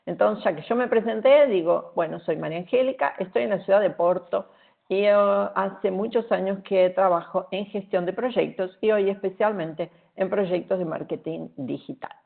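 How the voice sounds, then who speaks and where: A middle-aged Argentine woman with a Portuguese-tinged accent, giving a relaxed, chatty webinar talk with many fillers over a video call. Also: medium pitch, normal speed, vocal fry. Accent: Argentine Spanish, with Portuguese influence. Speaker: guest speaker. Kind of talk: webinar presentation. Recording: tinny phone-like online call audio.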